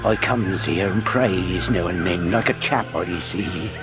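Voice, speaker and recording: An actor playing a half-crazed marooned old sailor in a radio drama, rambling and repeating himself. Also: male voice, low-pitched, measured pace, over music. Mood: hushed, eerie.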